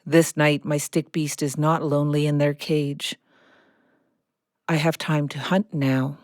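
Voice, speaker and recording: A woman playing a watchful, solitary snake in an audio play, speaking in a measured, deliberate way. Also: sits low, little pitch movement, a little mellow, no room sound, clean studio sound. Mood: calm, reflective. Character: slow, watchful female garter snake, solitary and protective. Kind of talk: audio play acting, narrating.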